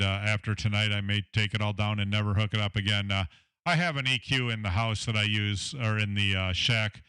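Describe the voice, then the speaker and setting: Man, deep voice, Southern American accent, smooth and resonant, dry close mic, casual ham radio chat. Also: a bit bright, dry recording. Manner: rambling, filler-heavy, technical. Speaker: ham radio operator, station owner. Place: home radio shack.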